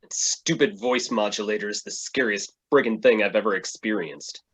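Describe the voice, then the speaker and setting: A man's voice: fairly low pitch, moderate pace, fairly bright tone, slightly rough. A man reading the part of a guilt-ridden tech superhero in a staged play reading, with an anxious delivery. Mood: remorseful.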